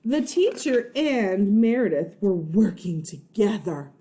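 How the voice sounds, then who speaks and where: A woman's voice: mid-range voice, big pitch swings, takes it slowly, dark tone. A woman reading a children's storybook aloud like a first-grade teacher, expressively, with explanations and check-ins for young listeners.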